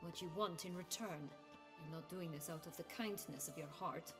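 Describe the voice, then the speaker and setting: A woman's voice: on the low side, moderate pace, natural voice. A woman playing a proud, wry, embittered adventurer in a fantasy video game, with a guarded, sardonic manner. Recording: reverberant, music behind.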